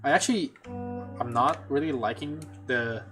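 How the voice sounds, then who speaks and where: Guy talking through a game stream, mid-pitched, muses out loud, music in the back. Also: unhurried. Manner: thinking aloud, chatty, many restarts. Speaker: gameplay streamer. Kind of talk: casual let's play commentary.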